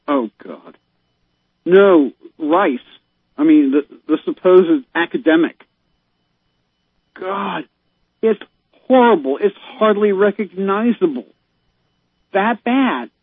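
A man calls into a show and speaks in an exasperated, emphatic way, sighing as he goes. His voice sits fairly high and sounds husky. It comes through a tinny, dry phone line. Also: lively intonation, takes it slowly, pauses often, no room sound. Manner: exasperated, emphatic, given to sighs. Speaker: caller. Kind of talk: casual phone chat.

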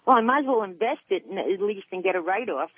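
A woman's voice: mid-range voice, animated, fast talker, slightly rough, no echo. A woman in her sixties calling in to a radio show, rambling and hesitant, with lots of ums. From New York State, USA. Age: 60s. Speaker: caller. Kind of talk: phone-in conversation. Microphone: telephone. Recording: tinny phone line.

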